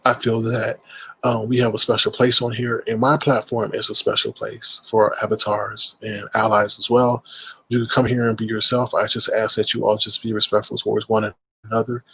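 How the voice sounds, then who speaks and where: A man's voice: lowish voice, vocal fry, a bit bright, slight background noise, rough recording. A man with a stuffed-up nose hosting a casual livestream, rambling and greeting viewers in chat mid-sentence. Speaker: livestream host.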